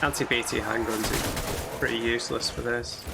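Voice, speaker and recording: A hoarse man commenting casually on a story game as he plays it, musing aloud and chatting to his viewers. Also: mid-range voice, steady tone, normal speed, heavy background noise. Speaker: let's play gamer. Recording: loud game gunfire and vehicles behind voice.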